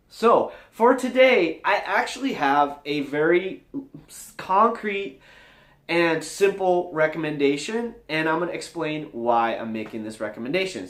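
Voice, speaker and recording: An upbeat, high-energy man chatting casually as a vlog host, his voice moving animatedly up and down. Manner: animated, high-energy, rambling with swooping register. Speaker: vlogger and host. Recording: echoey room.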